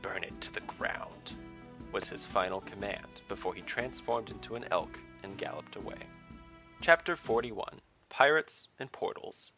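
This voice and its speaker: A man hosting and narrating a scripted fantasy story as its dungeon master, in a dramatic, deliberate way. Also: lowish voice, pushed and bright, low-quality recording.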